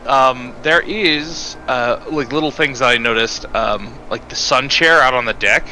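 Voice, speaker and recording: Bright-voiced laid-back male streamer. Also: mid-range voice, unhurried, a little hiss or hum, poor audio. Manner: laid-back, laughs often, reads chat aloud. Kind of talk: casual let's-play chat.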